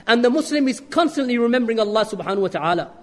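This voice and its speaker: A man preaching an earnest sermon with rhetorical questions and quick Arabic recitation. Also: extremely high pitch, expressive, fairly bright tone, long reverb tail. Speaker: Islamic preacher.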